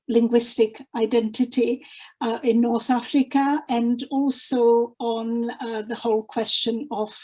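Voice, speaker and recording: Woman talking slow on a video call, lots of ums, warm mellow tone. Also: fairly high pitch. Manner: hesitant, with frequent ums and restarts. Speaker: presenter introducing a guest poet. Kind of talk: unscripted introduction at an online literary event.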